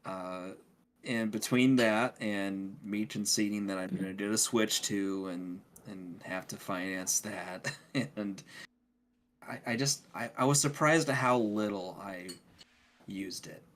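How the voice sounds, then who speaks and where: A man co-hosting a podcast in casual chat, thinking aloud in a halting, hedging way. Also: fairly low pitch, steady tone, fairly bright tone. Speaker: podcast co-host.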